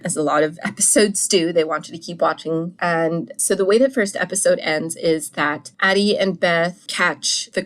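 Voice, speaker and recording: A woman hosting a solo podcast, chatting in an animated, casual way, a little congested and coughing after a cold. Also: fairly low pitch. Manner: chatty, animated, laughs and restarts often. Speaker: podcast host.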